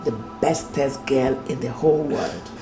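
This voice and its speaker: A frank, teasing auntie who says exactly what she thinks, as a woman acts her out. She talks at an unhurried pace. Loud film score plays under her voice. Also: rumbling low, steady tone. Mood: apologetic. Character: blunt teasing aunt, middle-aged woman. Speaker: aunt. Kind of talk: Nollywood film acting. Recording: film soundtrack with loud background music.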